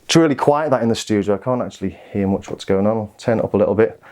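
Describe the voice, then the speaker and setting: A man's voice: lowish voice, very fast, darkish tone. A man giving a casual product demonstration, informal and understated, thinking aloud as he goes. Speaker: synth reviewer and demonstrator.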